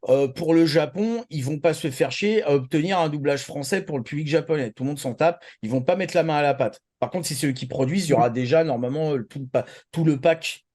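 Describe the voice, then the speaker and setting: Male co-host, 40s. Mellow tone, a touch high, informal explainer in casual panel talk. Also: fast, hardly any pauses. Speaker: talk show co-host presenting anime news.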